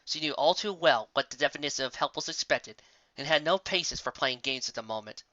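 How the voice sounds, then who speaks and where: Bright-voiced man narrating, lo-fi. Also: a little high, animated, a little fast, dry recording. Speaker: fan fiction narrator. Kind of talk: expressive scripted story narration.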